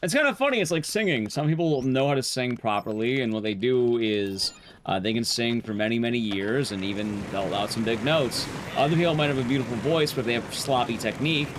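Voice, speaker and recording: A young man streaming to his chat, talking casually, rambling and chatty with sudden jumps in pitch. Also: noisy background.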